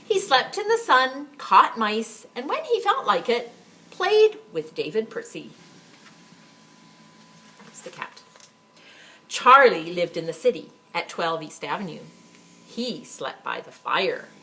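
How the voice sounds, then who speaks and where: Expressive woman reading a storybook, animated pitch. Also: takes it slowly, pauses often, poor audio. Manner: expressive, with emphatic phrasing and conversational asides. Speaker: story time reader for a school library. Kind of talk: read-aloud picture book storytelling for children.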